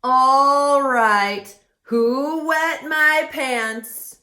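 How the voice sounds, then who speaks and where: A woman's voice: sits high, extremely slow, pushed and bright, voice pushed up, exaggerated voice. A woman voicing an indignant male bear who accuses his friends in a huffy, dramatic way. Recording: roomy echo.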